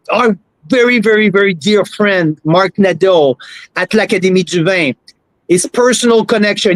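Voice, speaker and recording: High-voiced man pitching a wine trip. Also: no room sound, rough recording. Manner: eager sales pitch in non-native English with grammar slips. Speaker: wine club co-host. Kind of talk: livestream chat. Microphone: phone.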